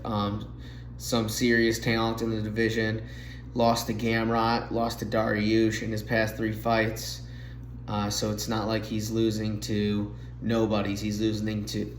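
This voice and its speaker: A man's voice: lowish voice, slight background noise. A man hosting a solo MMA picks show, talking casually and sounding nasal after being sick, recorded on a phone mic. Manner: chatty, fillers, slightly rambling analysis. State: nasal from recent illness. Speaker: MMA podcast host making fight picks. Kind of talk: casual solo fight breakdown. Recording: echoey room on phone mic.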